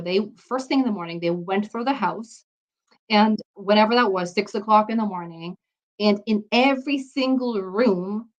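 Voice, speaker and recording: A woman's voice: medium pitch, highs cut off. A woman from Germany gives an informal health lesson, explaining and often checking in with her listeners. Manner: explanatory, checks in with "okay?" and "right?". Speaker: health course instructor. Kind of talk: informal lecture to an audience.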